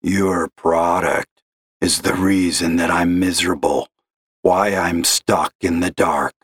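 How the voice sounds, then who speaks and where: A man voicing a miserable, gravel-voiced caller who talks slowly and gloomily. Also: low voice, fairly even intonation, a bit bright, dry recording, clean studio sound, heavily acted. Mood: gloomy, bitter. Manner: slow, gloomy and accusing. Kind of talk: audio story reading.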